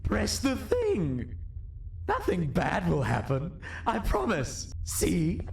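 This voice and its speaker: Creaky, swooping, sly monster. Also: male voice, high-pitched, huge pitch swings, darkish tone, heavily acted. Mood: sly coaxing. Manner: drawn-out, sing-song coaxing. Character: sly coaxing cartoon monster, falsely reassuring. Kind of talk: video game character acting. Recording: echoey, distant, faint noise.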